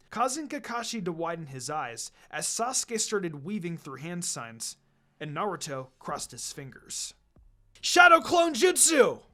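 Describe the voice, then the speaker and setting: A man reading an adventure story aloud as narrator, in a dramatic scripted delivery. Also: a little high. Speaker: narrator, fan fiction reader.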